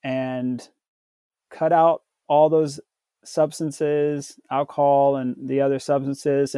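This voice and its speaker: An American man from Tennessee telling his personal story as a podcast guest, in a halting, reflective way with frequent pauses mid-sentence. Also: medium pitch, creaky, dark tone, dry recording. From southern United States, Tennessee.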